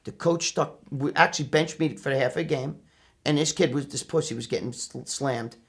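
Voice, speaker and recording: A man's voice: medium pitch, moderate pace. A middle-aged man with a New York accent telling personal stories casually, brash and profane, acting out what people said. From New York, USA. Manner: brash, profane, animated retelling with acted quotes. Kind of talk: casual anecdotal storytelling.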